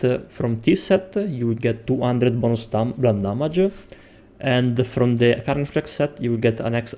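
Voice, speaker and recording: Young Italian man, non-native English, warm low-key voice, walks through a game tutorial with frequent pauses. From Italy. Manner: explains step by step with frequent hesitations. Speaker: game guide creator. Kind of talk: gameplay tutorial.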